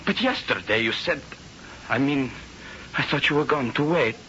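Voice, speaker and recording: A man's voice: medium pitch, lively intonation, normal speed, sharp tone. An actor playing a naive, hot-headed young Spanish man in love, earnest and melodramatic in a 1970s radio drama. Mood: hurt, jealous. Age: young adult. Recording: muffled 1970s radio broadcast, faint noise.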